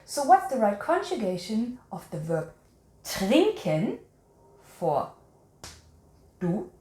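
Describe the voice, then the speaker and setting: A woman language teacher presents a quiz lesson in a roomy, echoing space. She speaks slowly and instructively, with pitch that rises and falls in an animated way. Example sentences are repeated and carefully enunciated. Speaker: language teacher and channel host.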